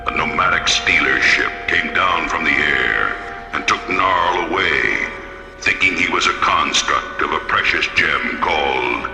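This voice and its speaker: An actor playing an ancient, imperious alien high priest in a science fiction radio drama, speaking in a solemn, portentous manner. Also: male voice, gravelly, heavily acted. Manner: slow, portentous, formal. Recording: cavernous echo, music underneath.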